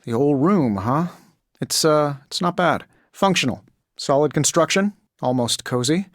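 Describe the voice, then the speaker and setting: Casting: cocky genius superhero for a game, lighter higher pitch, glib sarcasm, very lively inflection. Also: male voice, unhurried, no echo, studio quality. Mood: dry, sardonic. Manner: glib, sarcastic, self-assured quipping. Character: cocky, wisecracking billionaire inventor superhero in powered armor. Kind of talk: video game voice acting.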